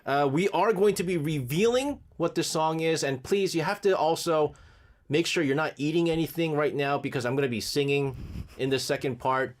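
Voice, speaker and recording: A man hosting a radio show in casual chat, animated and laughing often. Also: normal speed. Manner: animated, laughs often, swings between high and low. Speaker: radio host.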